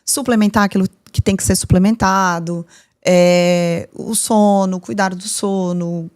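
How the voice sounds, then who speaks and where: A woman, a doctor hosting a podcast, explaining things to her guest in a warm, advising way. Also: medium pitch, fairly even intonation, a little slow. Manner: warm, explanatory, uses vivid comparisons. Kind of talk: interview host, advising.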